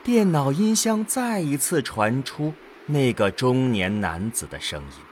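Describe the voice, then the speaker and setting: Slow, expressive male storyteller. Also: medium pitch, a little hiss or hum. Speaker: narrator. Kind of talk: scripted audio drama narration.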